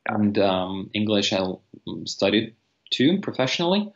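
A man's voice: lowish voice, very animated, measured pace, creaky. A young man whose first language is Russian, a language teacher speaking earnestly on a video call with many fillers and restarts. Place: room with teal wall. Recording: dull lo-fi video call audio.

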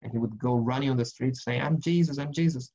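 A Brazilian-accented man in his forties tells stories in a meandering way, often restarting his sentences. His voice has a gravelly edge. The sound is muffled, as if picked up by earphones on a video call. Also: mid-range voice, quick pace, mellow. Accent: Brazilian Portuguese. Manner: rambling, self-correcting storytelling. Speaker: speaker answering questions. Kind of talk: online Q&A talk. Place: home, virtual beach background. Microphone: earphone mic on a video call.

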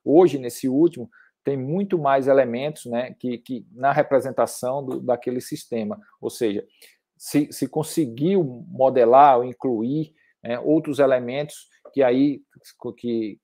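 Male, middle-aged, Brazilian accent. Mellow mid-pitched voice, halting academic lecturer delivery with repeated words. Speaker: climate researcher, guest lecturer. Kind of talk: slide lecture at an online academic workshop.

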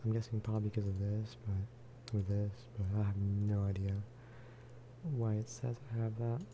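A man giving soft-spoken ASMR commentary over a game, casual and rambling. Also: fairly low pitch, little pitch movement, normal speed, background noise. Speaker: gaming video creator.

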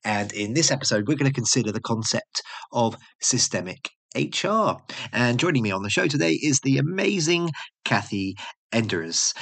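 A man's voice: medium pitch, a bit bright. A man hosting a podcast interview, effusive and full of praise for his guest. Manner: effusive, complimentary. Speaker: podcast host.

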